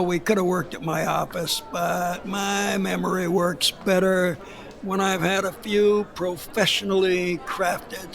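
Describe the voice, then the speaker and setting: An actor playing an 85-year-old retired police chief, who tells his story slowly with emphatic repeats. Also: high voice, a bit bright, exaggerated voice. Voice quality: gravelly, drawling old voice. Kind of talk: radio drama acting.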